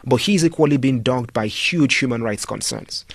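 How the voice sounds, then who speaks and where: A man's voice: mid-range voice, moderate pace, creaky, no echo. A man speaking as a studio guest on a radio talk show, making his points emphatically with pointed rhetorical questions. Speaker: studio guest, political commentator.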